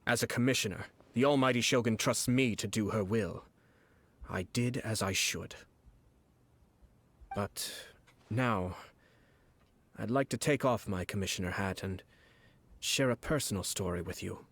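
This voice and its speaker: An actor playing a composed noble male commissioner who speaks smoothly and courteously, with quiet menace. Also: on the low side, measured pace, pauses often, no echo. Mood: firm. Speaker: clan commissioner. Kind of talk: game voice acting.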